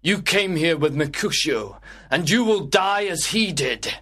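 A hot-blooded young nobleman, acted by a man in a dramatised tragedy. His voice sits very high and swings widely, urgent and emphatic. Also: very animated, measured pace, exaggerated voice. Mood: menacing. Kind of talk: dramatised audiobook of a classic tragedy.

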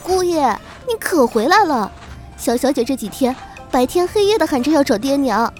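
A woman's voice: high-pitched, expressive, normal speed, darkish tone, soft background music. A woman playing an eager young maidservant of a noble household in a Chinese costume audio drama, speaking deferentially.

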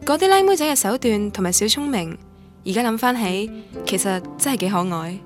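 A woman's voice: fairly high pitch, lively intonation, a little fast, music in the background, natural voice. An actress playing a lonely young woman student, narrating her memories in Cantonese radio drama in a wistful, literary manner. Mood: wistful, reflective. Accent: Cantonese from Guangdong.

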